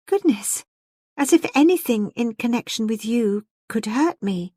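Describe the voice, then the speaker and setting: A woman's voice: on the high side, a little slow, a little mellow, dry recording, studio quality, voice pushed up. A woman voicing a proud, coaxing young lady in a novel, with a petulant, importunate manner. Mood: serene, proud. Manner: importunate, emotional pleading.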